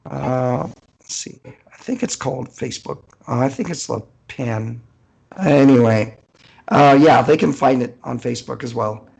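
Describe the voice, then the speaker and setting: A man's voice: medium pitch, a little slow, creaky, a little mellow, slightly rough, poor audio. An older man chatting casually as an author guest on a podcast, hesitant and rambling as he thinks aloud. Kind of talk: casual unscripted interview chat.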